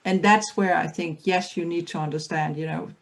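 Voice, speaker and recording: A woman's voice: on the low side, steady tone, normal speed, gravelly. A middle-aged woman from Denmark with a Danish accent, speaking earnestly as a panelist with frequent restarts. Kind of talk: panel discussion.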